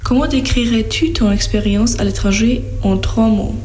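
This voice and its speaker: A woman's voice: a little high, moderate pace, a little mellow, a little hiss or hum. A young woman from Austria, a volunteer, presents a radio show and reads interview questions from a script. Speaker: host, volunteer.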